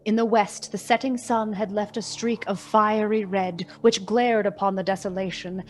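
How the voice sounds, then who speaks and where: A solemn storyteller played by a woman narrates a radio play at a middle pitch. Her scripted delivery is measured and expressive, suited to an old Christmas tale. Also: a little hiss or hum, close to an everyday voice. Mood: measured storytelling.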